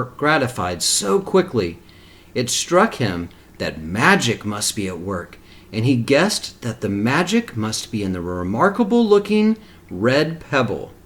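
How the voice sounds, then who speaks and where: A man reads a children's storybook aloud at an unhurried pace. His pitch rises and falls in a lively way, with deliberate, expressive phrasing suited to kindergarten listeners. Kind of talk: read-aloud storytelling with short asides.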